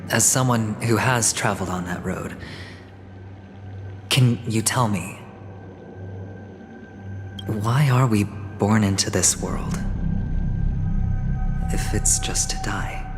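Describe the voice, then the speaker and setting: An actor playing a sly, fatalistic young gambler in a video game cutscene, speaking theatrically with deliberate, drawn-out phrasing. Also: male voice, lowish voice. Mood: searching, melancholy. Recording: dramatic music behind the voice.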